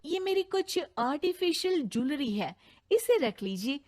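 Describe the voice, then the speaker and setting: A woman's voice: sits high, big pitch swings, measured pace, a little mellow, pitched above the performer's own voice. A woman voicing a proud, outspoken young bride in a cartoon story, exclamatory and easily outraged. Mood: firm, sly. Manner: exclamatory, indignant.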